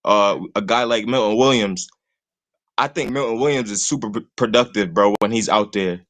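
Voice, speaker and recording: A man's voice: on the low side, moderate pace, fairly bright tone. A man, talking sports casually and rambling, leaning on "you know what I'm saying" all the time. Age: 20s. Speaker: call-in guest host.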